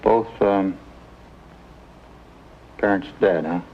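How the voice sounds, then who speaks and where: Reassuring American physician, measured delivery, deep voice, old TV drama with slight hiss. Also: male voice, full character voice. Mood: friendly, probing. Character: calm, plain-spoken male doctor, reassuring with young patients. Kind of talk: 1950s television drama acting. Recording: muffled, noisy old 1950s TV soundtrack.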